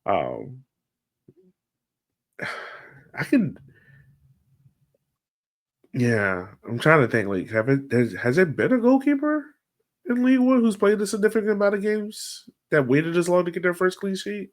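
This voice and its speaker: A man's voice: high-pitched, big pitch swings, a little slow, pauses often. Casual male sports podcast host. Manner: informal, conversational, chatty.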